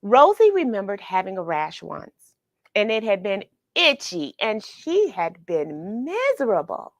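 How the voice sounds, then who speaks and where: A woman telling a children's picture story in an expressive way, pausing for chatty questions to the young listener. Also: medium pitch, no room sound. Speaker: children's read-along storyteller and host. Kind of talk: animated picture-book read-aloud with questions to young listeners.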